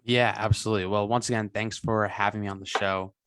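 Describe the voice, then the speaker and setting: A man's voice: fairly low pitch, level tone, brisk, noisy background. A man in his twenties, a guest on an interview podcast, talking earnestly over a video call with many restarts. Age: 20s. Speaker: guest entrepreneur.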